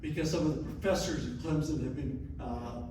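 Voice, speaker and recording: Man, community meeting update, slightly high voice, distant mic in an echoey room. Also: a little fast, mellow, a little hiss or hum. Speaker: group member giving an update. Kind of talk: informal meeting report.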